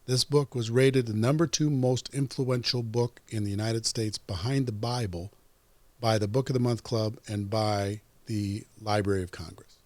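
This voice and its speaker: A man in his fifties, a podcast guest, talking in an emphatic, animated way. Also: on the low side, normal speed, no echo. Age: 50s. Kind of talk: casual interview chat.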